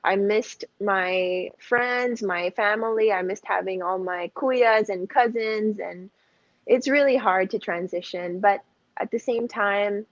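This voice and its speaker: A woman's voice: medium pitch, takes it slowly, dry recording. Young woman, candid and laughing, muffled. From Philippines (Cebu). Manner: candid, laughs often, light fillers. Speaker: pageant candidate, guest. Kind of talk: casual interview answers. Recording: dull muffled dry audio.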